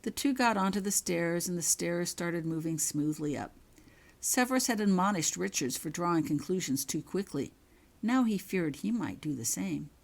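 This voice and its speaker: Female author-narrator for scripted fiction, low register, animated intonation. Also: normal speed, gravelly. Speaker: author reading own fan fiction.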